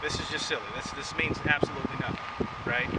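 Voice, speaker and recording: A man's voice: medium pitch, steady tone, quick pace. A man teaching karate outdoors in a chatty way, tagging "right?" onto his points, recorded from a distance with wind and traffic around. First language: English. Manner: chatty, keeps adding "right?". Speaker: karate instructor. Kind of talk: outdoor martial arts lesson. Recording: windy outdoor audio, distant mic. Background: wind and passing vehicles.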